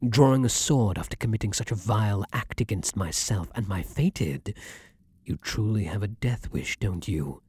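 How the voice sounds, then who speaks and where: A man playing an imperious, lovesick vampire king in an intimate ASMR fantasy roleplay, speaking formally and theatrically with breathy, dramatic pauses. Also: on the low side, expressive, darkish tone, no room sound. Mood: cold menacing fury. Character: ardent, imperious male vampire king, lovesick then menacing.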